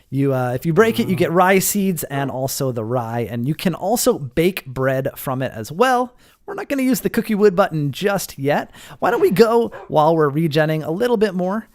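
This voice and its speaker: Male game showcase host, higher-pitched, very lively intonation, casual and explanatory. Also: no room sound. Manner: explanatory, addressing viewers as guys. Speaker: host of a Minecraft mod showcase. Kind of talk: casual gameplay commentary.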